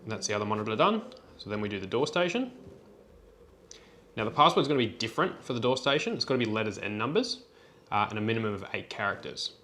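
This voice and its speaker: A man presenting an unscripted software tutorial in a plain, step-by-step instructional way. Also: on the low side, big pitch swings, fast talker, slight background noise.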